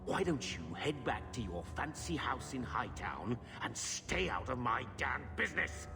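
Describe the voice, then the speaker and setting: An actor playing a gruff, bitter older man in a fantasy game, curt and irritable. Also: medium pitch, very animated, a little slow. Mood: bitter, snapping. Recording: long reverb, music behind.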